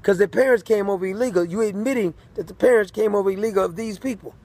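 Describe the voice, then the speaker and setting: A man's voice: high-pitched, quick pace, darkish tone. A man delivering a rambling political rant, repeating himself emphatically. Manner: repetitive, emphatic.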